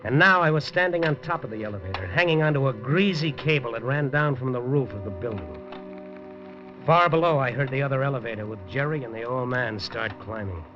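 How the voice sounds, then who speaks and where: A man's voice: mid-range voice, lively intonation, a little fast, over music, natural voice. An actor playing a hard-boiled male newspaper reporter, narrating dryly and tersely with wisecracks in a 1950s radio drama. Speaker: lead narrator. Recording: dull 1950s radio broadcast.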